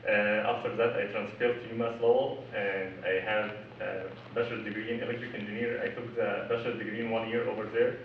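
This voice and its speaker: A man's voice: fairly low pitch, level tone, quick pace, hardly any pauses, slight background noise. Young Egyptian-born male panelist, hesitant with many fillers, distant echoey room. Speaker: engineering panelist. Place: college cafe panel. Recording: roomy, distant, dull.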